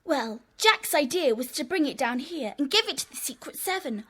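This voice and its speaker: A woman's voice: sits high, expressive, normal speed, sharp tone. A woman playing a cheeky, defiant schoolgirl in a children's audio drama, arguing and taunting. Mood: insistent. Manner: argumentative, taunting. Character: cheeky, defiant schoolgirl pushing into a secret club.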